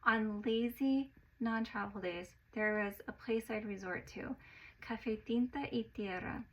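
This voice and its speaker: A woman narrating a personal story in an expressive way, getting louder at the exciting parts. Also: on the high side, a little slow, lo-fi sound.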